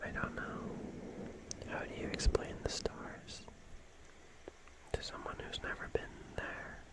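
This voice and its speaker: Whispered ASMR roleplay, breathy, unhurried pace, soothing, soft repetitions, atmospheric storytelling. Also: poor audio. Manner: slow, soothing, repeats words softly. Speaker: ASMR host.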